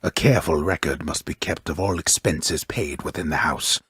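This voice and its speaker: An actor playing a wry, grandiose old storyteller in a video game, narrating Greek myth gravely and ornately with dry mockery, his voice gravelly. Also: normal speed, a bit bright, no echo, exaggerated voice. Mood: wry, stately. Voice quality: very deep, gravelly, husky voice. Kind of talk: theatrical scripted game narration.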